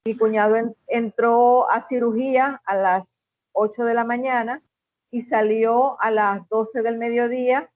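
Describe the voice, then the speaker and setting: A middle-aged woman with a Venezuelan accent, a guest in an interview, telling a personal story in an earnest, measured way with many "este" fillers. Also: a little high, fairly even intonation, dry recording, highs cut off. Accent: Venezuelan Spanish.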